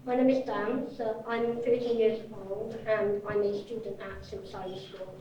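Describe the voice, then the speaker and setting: A woman's voice: mid-range voice, fairly even intonation, normal speed, warm tone. Woman interpreter, live panel, dull distant sound, heavy room echo. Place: echoey event room, indoors. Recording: very echoey, dull, distant, faint noise.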